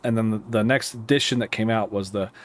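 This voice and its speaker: A man with an American accent giving casual, explanatory commentary over a simulator game, thinking aloud in a halting, rambling way. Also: lowish voice, fairly even intonation. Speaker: air traffic controller streaming a simulator game.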